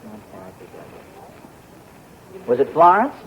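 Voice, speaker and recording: A man's voice: fairly low pitch, huge pitch swings, slow, breathy edge, full character voice. A man playing a curious, flustered husband in a 1940s radio sitcom, who talks in a wheedling, nervously chatty way. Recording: dull lo-fi 1940s radio recording.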